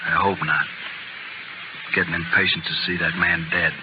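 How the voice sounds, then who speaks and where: A man's voice: sits low, level tone, normal speed, natural voice. Man playing a wry gunslinger, very noisy recording. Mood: grim. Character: wry, dry-humored gambler gunman of the Old West. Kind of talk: 1950s radio western acting. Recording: very noisy, muffled old radio recording.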